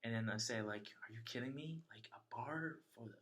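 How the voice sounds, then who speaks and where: A young man from China telling a travel story in casual chat, chatty and halting with many fillers. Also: mid-range voice, a bit bright, slight background noise. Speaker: interviewee sharing a travel story.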